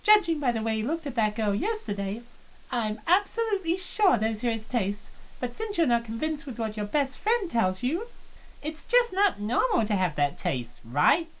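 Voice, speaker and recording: A woman's voice: a little high, very animated, moderate pace, poor audio. A woman voicing a self-assured plump student. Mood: confident. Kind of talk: fan voice acting of a visual novel.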